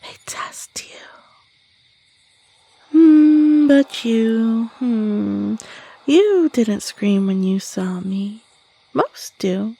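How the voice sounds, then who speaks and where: A woman voicing an eerie, sly creature of the woods in an audio roleplay, slow, musing and menacing, with a breathy, whispery voice. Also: a little high, lively intonation, warm tone, no echo, full character voice. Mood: eerie, intrigued. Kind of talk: scripted audio roleplay monologue.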